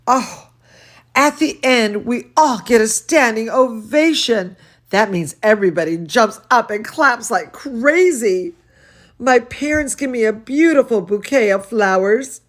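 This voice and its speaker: A woman reading a bedtime storybook aloud to children, expressive and cooing, speaking as if to very young listeners. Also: fairly high pitch, unhurried. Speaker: children's storyteller, dance studio teacher.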